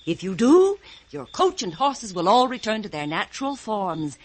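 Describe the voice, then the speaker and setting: A woman's voice: on the high side, big pitch swings, normal speed. An actress playing a kindly, grand fairy godmother, stately, theatrical and indulgent, in old-time radio drama style. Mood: gently commanding.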